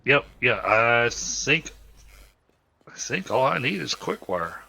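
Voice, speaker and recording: A man's voice: mid-range voice, sing-song, slow pace, vocal fry, sharp tone. A man with a Southern American accent, a streamer casually chatting while playing, explaining at length with plenty of fillers. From Southern United States. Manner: easygoing, rambling explanations with many fillers. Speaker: streamer hosting a multiplayer game. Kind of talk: casual game chat.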